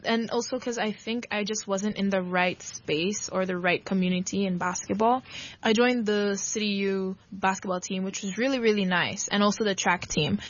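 A woman's voice: mid-range voice, steady tone, normal speed. A young woman from Hong Kong, a guest athlete chatting casually in a radio interview, talking loosely with lots of fillers and laughs. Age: young adult. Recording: slightly dull broadcast audio.

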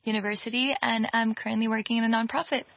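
A woman's voice: fairly high pitch, fairly even intonation, fast, creaky, fairly bright tone, no room sound, dull audio. A woman in her twenties from Hong Kong, chatting casually and reflectively as an interview guest. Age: 20s.

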